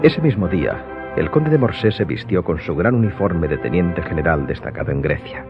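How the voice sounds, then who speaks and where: A man's voice: low voice, animated, moderate pace. A man narrating a scripted radio drama as the storyteller. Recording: slightly dull audio, music behind.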